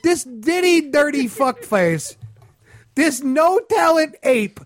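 A man's voice: extremely high pitch, expressive, slow. A man chatting casually in blunt, scornful, emphatic rants full of swearing, as a guest commentator on a comedy podcast. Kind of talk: profane comedic ranting, casual chat.

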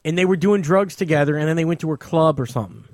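Lively, higher-pitched man in casual chat. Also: fast, dry recording, studio quality. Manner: agreeable, frequent backchannel interjections. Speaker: talk show co-host or guest from the comedy scene.

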